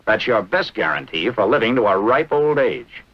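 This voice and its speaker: American man, vintage military instructional narration, animated intonation, brisk clipped delivery, dull old film soundtrack. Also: fairly low pitch.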